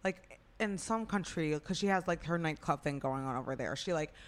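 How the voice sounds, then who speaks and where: Rambling low-voiced woman gossiping. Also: moderate pace. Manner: rambling, heavy use of "like". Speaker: podcast co-host. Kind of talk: casual gossip chat.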